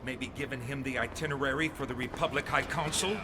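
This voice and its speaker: An actor playing a hot-headed, scornful rebel soldier with a menacing, sneering manner in an audio drama. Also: male voice, mid-range voice, fairly even intonation, fast talker, background noise, close to an everyday voice. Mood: sneering menace. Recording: loud music under the voice. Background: dramatic score.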